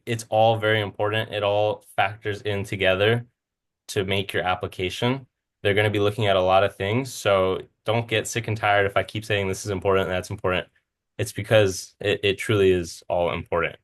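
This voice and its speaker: A man's voice: on the low side, fairly bright tone. A man in his twenties with a Californian American accent, giving an informal, self-deprecating slide presentation as a student speaker. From Southern California, United States. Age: 20s. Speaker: student speaker, medical school applicant.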